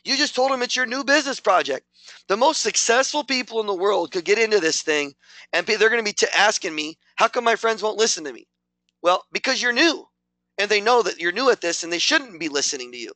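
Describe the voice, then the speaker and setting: A man's voice: high voice, lively intonation, fairly quick, sharp tone, no room sound. A man giving a punchy, repetitive motivational training talk to a network marketing team. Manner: punchy, repetitive, hyped coaching.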